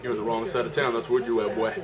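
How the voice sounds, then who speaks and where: A man's voice: mid-range voice, flat delivery, racing, natural voice. A man voicing a blustering, bigoted puppet with a drawling manner in an improvised amateur puppet show. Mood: hostile, dismissive. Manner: drawling, hostile, ends remarks with "boy". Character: gruff bigoted male condiment puppet, blustering then flustered. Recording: noisy room with loud chatter.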